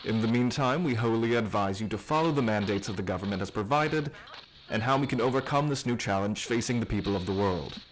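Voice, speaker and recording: Man acting measured government scientist over background noise. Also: fairly low pitch, natural voice, poor audio. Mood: reassuring. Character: measured reassuring male government immunologist in a broadcast interview. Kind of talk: broadcast interview roleplay.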